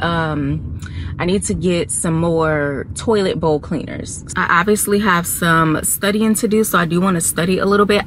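A young woman chats casually to a phone propped on her dashboard. Her voice sits a little low and she lets her thoughts drift in long, confiding runs. Clear road and car noise runs under everything. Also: a bit bright, poor audio. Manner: chatty, confiding, drawn-out pauses. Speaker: vlogger. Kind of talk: casual chat to camera. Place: parked car, indoors of vehicle. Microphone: phone camera on dashboard.

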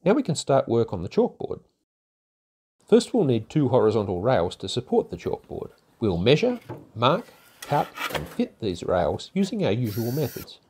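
An older man narrating a woodworking project in a calm, methodical, step-by-step way, as host of his own workshop channel. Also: mid-range voice, normal speed, breathy edge. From Australia. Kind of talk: instructional voice-over narration. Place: home garage workshop.

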